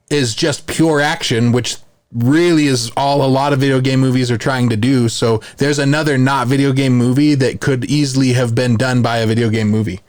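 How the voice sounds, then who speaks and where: A man hosting a podcast, chatting casually and giving his opinions in a conversational way. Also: mid-range voice. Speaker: podcast host. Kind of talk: casual podcast chat about films.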